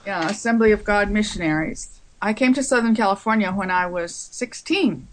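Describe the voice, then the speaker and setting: An older woman, raised in Taiwan, answering interview questions as a psychologist in a calm, measured way. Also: mid-range voice, a little hiss or hum, poor audio. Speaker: psychologist guest.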